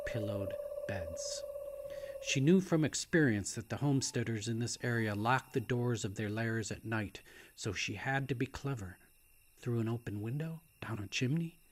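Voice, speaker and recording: Guy reading a story audiobook style, hushed n suspenseful, mid pitch, some ambient noise behind. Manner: hushed, deliberate, suspenseful, at times near whispering. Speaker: author reading own novel.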